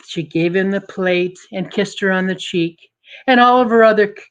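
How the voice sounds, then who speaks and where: A woman telling a story dramatically to an audience, deliberate and emphatic, building suspense. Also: mid-range voice, low-quality recording.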